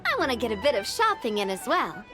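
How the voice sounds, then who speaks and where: A woman's voice: fairly high pitch, very animated, fast, music in the background. A woman voicing a plucky young woman organiser who likes to bluff tough, in a video game. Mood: upbeat.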